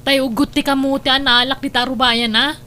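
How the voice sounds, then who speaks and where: A woman's voice: high voice, little pitch movement, fairly quick, sharp tone, a little hiss or hum. A woman with an Ilocano accent playing a nagging, defiant wife from a poor household in a family drama. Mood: reproachful. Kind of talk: Ilocano radio-style family drama acting.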